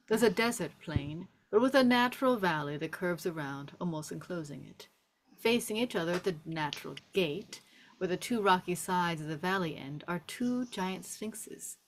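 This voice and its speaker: A woman with a somewhat low voice narrates a screenplay. She reads scene descriptions and stage directions aloud as the narrator of a table read. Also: a little hiss or hum, low-quality recording. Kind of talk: scripted narration.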